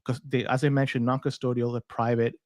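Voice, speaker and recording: A man's voice: mid-range voice, level tone, fairly quick, a little mellow, no echo, clean studio sound. A man hosting a podcast, chatting casually and reading sponsor spots in an upbeat, salesy way. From Canada. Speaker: podcast host. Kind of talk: casual podcast talk and live sponsor reads.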